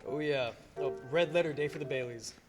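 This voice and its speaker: A man's voice: on the high side, fairly quick, over music, natural voice. A man playing a harried, short-tempered small-town father in an old-time radio play. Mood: irritable.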